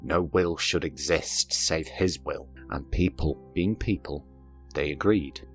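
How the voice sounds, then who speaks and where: A man with a strikingly deep voice narrates a storybook tale. His delivery is measured and deliberate, and music plays softly behind him. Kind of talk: scripted fairy-tale narration over music. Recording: narration over background music.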